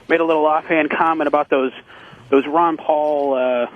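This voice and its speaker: A man's voice: a little high, steady tone, normal speed. A man phoning in to a radio show, arguing earnestly and casually, insistent and stammering on word starts over a phone line. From United States, Connecticut. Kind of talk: earnest, casual political argument.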